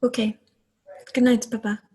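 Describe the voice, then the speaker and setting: Husky, plaintive young girl played by a woman. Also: fairly high pitch, little pitch movement, normal speed, dark tone. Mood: subdued. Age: young adult. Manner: plaintive, questioning. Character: young girl, worried, questioning older sister. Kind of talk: radio play acting.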